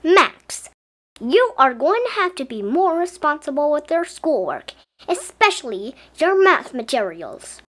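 A woman's voice: sits high, big pitch swings, measured pace, pitched above the performer's own voice. A woman reading the part of a caring, firm mother talking to her young son in a storybook. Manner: reassuring but instructive.

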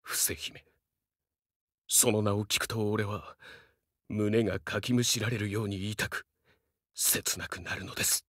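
A man's voice: on the low side, steady tone, measured pace, pauses often, a bit bright, no echo, studio quality. A man voicing a young, fiercely loyal dog-man samurai in a Japanese game, speaking earnestly in formal, archaic warrior speech with dramatic delivery. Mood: solemn, wistful.

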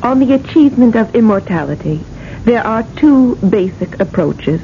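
An American actress playing an efficient, unflappable corporate secretary in a radio drama, reporting in a formal, matter-of-fact way. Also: female voice, a little high, lively intonation, moderate pace. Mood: crisp, businesslike. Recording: dull vintage radio recording, faint noise.

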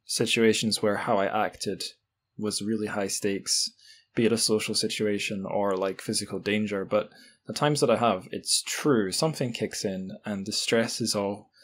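Lowish-voiced man hosting a podcast. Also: fairly even intonation, normal speed, a bit bright, breathy edge. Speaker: podcast host. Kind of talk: casual conversational discussion.

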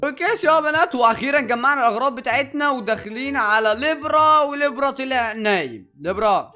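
High-pitched, excitable young Egyptian man. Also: soft background music. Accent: Egyptian Arabic. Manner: excitable, repeats words rapidly. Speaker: gaming YouTuber, host. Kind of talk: excited gameplay commentary with friends.

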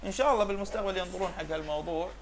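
A man's voice: high voice, rapid-fire, crowd noise. A man in his thirties with a Kuwaiti Arabic accent, talking conversationally as an interview guest and repeating words for emphasis. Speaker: dog breeder and importer, interview guest. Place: pet shop with glass animal enclosures. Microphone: lapel. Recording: faint background noise.

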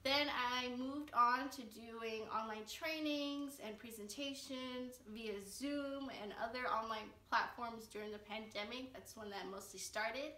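Brief: twenties woman vlogger, earnest and upbeat, slightly high voice, roomy and off-mic. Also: pushed and bright, far from the mic. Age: 20s. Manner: upbeat, earnest, gestures with hands while talking. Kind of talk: casual vlog talk to camera. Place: living room, sitting on a couch.

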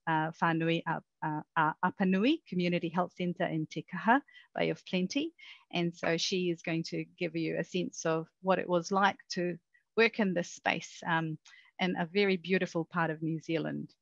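A woman's voice: fairly low pitch, no room sound. A woman introducing the next speaker in a webinar, speaking hesitantly with many fillers. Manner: hesitant, filler-heavy speech. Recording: dull, dry video-call audio.

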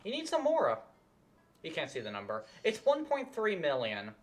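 A man's voice: a little high, wildly swooping pitch, moderate pace. A young man giving casual live game commentary, rambling and muttering to himself. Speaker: game streamer. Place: indoor room at a desk.

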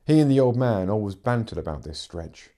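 A man's voice: fairly low pitch, expressive, a little fast, dark tone. A middle-aged man reading fiction aloud as a narrator, in dramatic audiobook style. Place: living room, seated in armchair.

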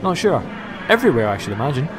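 A man's voice: fairly high pitch, big pitch swings, fast talker, big-room sound, over a noisy crowd. A young man playing a cheeky, eccentric time-travelling hero in a science fiction audio drama. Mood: light, wry. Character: cheeky, eccentric heroic male time traveller, bold wanderer.

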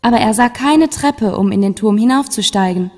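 A woman's voice: a little high, moderate pace, darkish tone, soft background music. A woman storyteller reading a scripted fairy tale for children as narration.

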